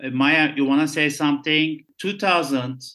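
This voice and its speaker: Turkish man, middle-aged, broken English, earnest and pleading, bright, forceful voice. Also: fairly high pitch, normal speed. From Turkey. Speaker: guest, former cruise ship staff. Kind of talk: casual interview chat.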